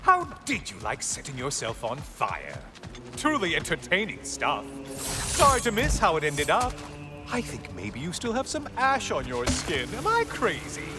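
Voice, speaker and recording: A gleefully mocking tormentor in a video game. His fairly high voice leaps all over the range, chatty and falsely innocent, dripping with sarcasm. Loud music plays beneath him. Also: full character voice. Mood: gleeful taunting. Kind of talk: theatrical video game acting.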